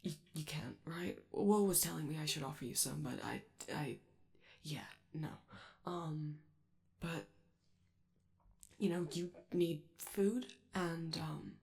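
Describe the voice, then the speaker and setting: A woman playing a friendly, awkward young male robot in a soft ASMR roleplay, with a creaky voice and a hesitant, rambling, apologetic delivery. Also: low-pitched, takes it slowly, long pauses, heavily acted. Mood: nervous, reassuring.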